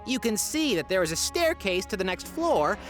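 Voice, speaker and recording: A woman's voice: medium pitch, big pitch swings, normal speed, music in the background, natural voice. A woman playing a mischievous game master. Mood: playful. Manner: playful, teasing storyteller. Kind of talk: narrating a tabletop game in a comedy audio drama.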